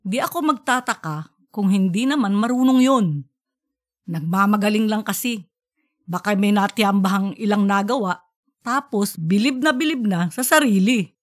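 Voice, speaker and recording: A woman's voice: mid-range voice, normal speed, lightly acted. A woman voicing a practical, opinionated wife and mother, chatty and matter-of-fact, in an audiobook story. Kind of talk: audiobook character dialogue.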